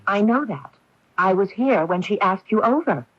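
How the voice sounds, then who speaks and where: A woman's voice: mid-range voice, lively intonation, normal speed, natural voice. An actress playing a young woman with a split personality in a 1980s radio drama, speaking in a poised, conversational way. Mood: cool, reserved. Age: young adult. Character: young woman with split personality, sporty Jane and aloof Vanity. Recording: noisy, muffled 1980s AM radio recording.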